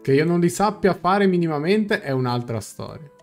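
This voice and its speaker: A man's voice: a little high, expressive, normal speed. A young adult man with an Italian accent, casually commenting on and translating a game as a live streamer. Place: small room at home. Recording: game music faintly behind.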